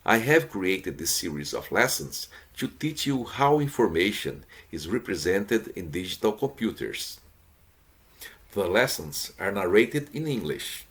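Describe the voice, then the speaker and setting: A male professor, a native Portuguese speaker, introducing his course in a polite, plain and deliberate way. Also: lowish voice.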